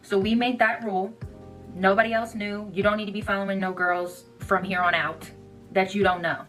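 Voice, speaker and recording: A woman's voice: medium pitch, flat delivery, moderate pace, a bit bright, soft background music, low-quality recording. A young woman talking straight to viewers in a blunt, assertive, conversational social media monologue.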